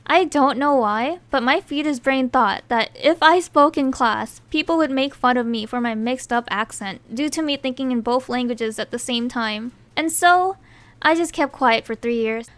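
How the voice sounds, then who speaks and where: A high-voiced young woman narrates a personal storytime in a chatty, self-mocking tone. Thai is her first language, though she grew up in the United States. The sound is close and dry with no room around it. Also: moderate pace, a bit bright, no echo. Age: young adult. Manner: chatty, self-deprecating, wry asides. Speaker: animator telling her own story.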